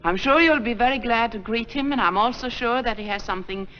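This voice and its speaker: An actress playing an earnest, gently formal nun who runs a school, in a 1940s film. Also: female voice, on the high side, brisk, slight background noise, close to an everyday voice. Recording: dull lo-fi 1940s film soundtrack.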